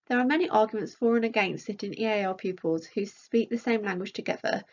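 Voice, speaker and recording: A woman's voice: mid-range voice, highs cut off. A woman reading out a scripted academic conference presentation as a researcher.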